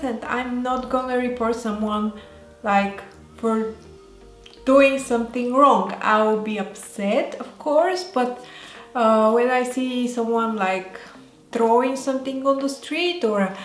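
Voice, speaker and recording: A woman with a Bulgarian accent talking casually as a vlogger, in a halting way that often pauses mid-sentence. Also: on the high side. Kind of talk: casual talk to camera. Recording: roomy echo, faint music behind.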